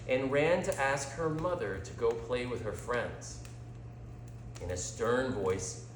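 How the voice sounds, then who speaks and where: A man reading a scripted story as a storyteller, with a dramatic, deliberate delivery. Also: medium pitch, background noise. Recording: echoey room.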